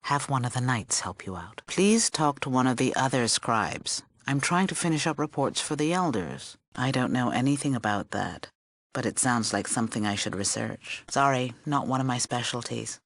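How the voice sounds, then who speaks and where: Aloof video game scribe, middle-aged, learned, formal, curt, condescending, close dry recording. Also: female voice, a bass voice, lively intonation, normal speed, no room sound, exaggerated voice. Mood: dismissive. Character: stern, learned female scribe of a post-war order, aloof. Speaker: game character. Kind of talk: video game character dialogue.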